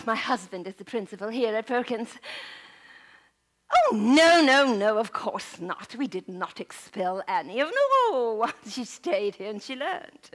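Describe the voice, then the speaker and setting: A woman's voice: a little high, fairly bright tone, exaggerated voice. A woman playing the polite wife of a school principal in a theatrical historical monologue.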